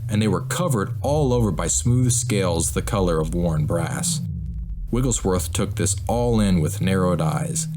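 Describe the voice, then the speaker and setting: Low-voiced man narrating over noisy ambience. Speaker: author narrating his own audiobook. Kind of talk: scripted dramatic story narration. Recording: clear background noise and ambience. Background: sound-effect ambience.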